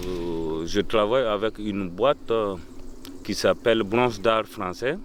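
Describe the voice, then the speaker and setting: A man's voice: lowish voice, slow, background noise. A man from Burkina Faso, leading a bronze-casting workshop and talking in a documentary interview, hesitant and full of fillers. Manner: hesitant, with many fillers and restarts.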